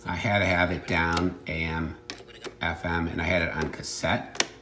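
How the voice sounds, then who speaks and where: Low-pitched man chatting offhandedly, some noise. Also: level tone, normal speed, reverberant. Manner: offhand, wry, joking. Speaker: reseller showing secondhand finds. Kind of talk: casual chat.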